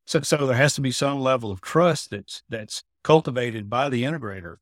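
A man with a middle-pitched voice explains his trade in a relaxed interview. He loops through restarts and folksy sayings and keeps checking in with the listener. The sound is dry and close, with no room around it. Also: normal speed, dry recording. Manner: repetitive restarts, folksy sayings, checks in with "you know what I mean". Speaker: building automation integrator, podcast guest. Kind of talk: casual interview talk.

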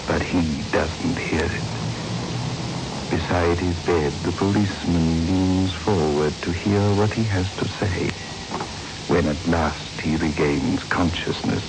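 A man playing a sinister, mock-genial horror host, narrating slowly and theatrically in a 1960s radio drama. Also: low-pitched, creaky, full character voice, poor audio. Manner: slow, deliberate, theatrical, macabre. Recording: old radio recording with loud music.

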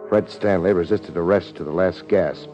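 An actor playing a laid-back, tough American private detective in a 1950s radio drama, with a dry, sardonic manner. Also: male voice, deep voice, moderate pace, natural voice. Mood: dry narration. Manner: dry, hard-boiled, sardonic. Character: laid-back, tough, wisecracking male New York private eye. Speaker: detective hero and narrator. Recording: dull vintage radio recording with music.

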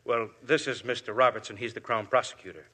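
An actor playing a shrewd police inspector who questions people with smooth persistence, in a 1950s radio drama. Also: male voice, medium pitch, steady tone, quick pace, sharp tone. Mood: probing. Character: shrewd, probing middle-aged police inspector.

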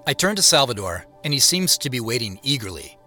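A man's voice: medium pitch, very animated, a little fast, pushed and bright, faint music behind. An actor playing an earnest investigator who narrates his own mystery in a first-person audio drama. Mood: hushed wonder.

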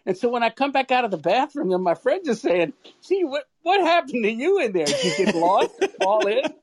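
Very lively, swooping man telling a story. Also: very high voice, brisk, husky. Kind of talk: casual anecdote telling.

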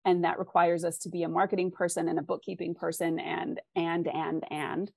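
A woman with a slightly low voice talks as an interview guest, recorded close and dry. She gives advice in a candid, encouraging way, leaning on key words for emphasis. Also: flat delivery, normal speed, gravelly, darkish tone, husky, no echo. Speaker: interview guest, author and freelance writer. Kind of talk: conversational interview, giving advice.

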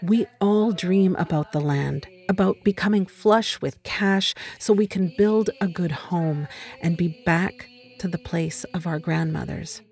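A woman giving a measured, reflective reading of a personal essay on a close studio mic. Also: fairly low pitch, a little mellow. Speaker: reader of an essay.

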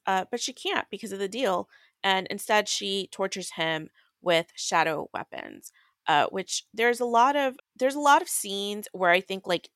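A woman's voice: fairly low pitch, fairly bright tone, clear, resonant tone, no echo, clean studio sound. A young adult woman hosting a podcast, recapping a book in casual, irreverent chat with crude humor and swearing. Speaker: podcast host.

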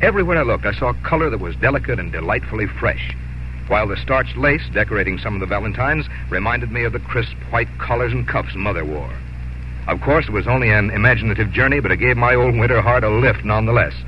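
A man's voice: lowish voice, expressive, fast. A man announcing a scripted 1950s radio sponsor message in a folksy, conversational manner. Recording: dull, noisy 1950s radio broadcast.

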